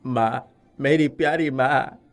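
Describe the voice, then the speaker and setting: A man's voice: mid-range voice, slow pace. A man playing an ailing, remorseful son devoted to his mother in a dramatised story. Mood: emotional, weary. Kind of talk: dramatised audio story acting.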